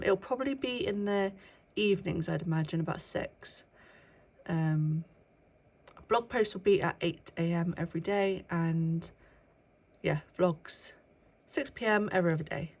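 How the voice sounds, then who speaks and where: A young woman chats to camera with a stuffy, bunged-up voice, filling pauses with ums and now and then lowering her voice. Her pitch sits a little low for a woman. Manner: chatty, with frequent ums, sometimes hushed. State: bunged up with a cold. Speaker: vlogger. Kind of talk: casual chat to camera. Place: workplace indoors, early morning.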